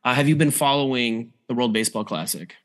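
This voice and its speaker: An American man with a slightly hoarse, tired voice, hosting a podcast and chatting casually about sports. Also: mid-range voice, fairly quick, a bit bright. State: a little tired and hoarse from cheering. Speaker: podcast host.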